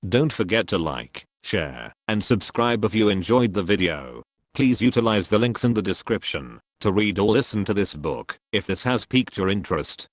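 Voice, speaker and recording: A man's voice: on the low side, sing-song, normal speed, no echo, studio quality. A man hosting a book channel, reading a scripted announcement.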